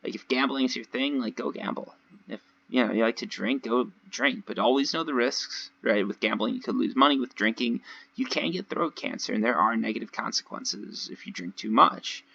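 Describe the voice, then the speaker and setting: Slightly hoarse, gravelly man commentating gameplay. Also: mid-range voice, normal speed, sharp tone, muffled recording. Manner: rambling, conversational, addressing viewers directly. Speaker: gaming video creator. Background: voice-over recorded over gameplay.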